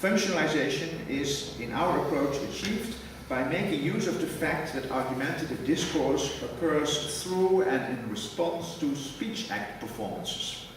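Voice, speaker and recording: A man's voice: on the high side, lively intonation. Man lecturing in an echoey hall. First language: Dutch. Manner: measured, reads a prepared text, precise wording. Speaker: academic lecturer. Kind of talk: formal academic conference lecture. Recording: echoey hall from far off with noise.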